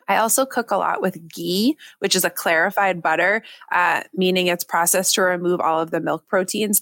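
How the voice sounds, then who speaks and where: A woman with a fairly low voice explains things steadily as a co-host. Her voice is recorded dry and close, with no room sound. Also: a bit bright, no echo. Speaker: podcast co-host. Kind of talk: casual conversational chat.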